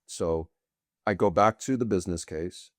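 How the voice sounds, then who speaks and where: A middle-aged man, bilingual with Portuguese, answering interview questions as a guest in long, deliberate, winding sentences. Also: low voice, dry recording, clean studio sound. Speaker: guest, sales executive.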